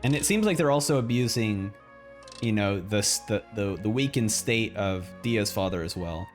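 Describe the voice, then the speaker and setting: A man's voice: lowish voice, expressive, normal speed, faint music behind. A man streaming a game, giving casual, rambling live reactions and commentary.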